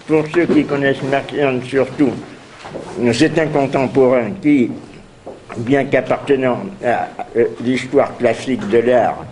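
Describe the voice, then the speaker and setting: An older man with a heavy head cold hosts a university seminar in a slow, hesitant way, full of pauses and restarts. His voice sits at an ordinary pitch, and faint background noise hangs in the room. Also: a little mellow, poor audio. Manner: halting, many fillers and restarts. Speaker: seminar host. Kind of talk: academic seminar introduction.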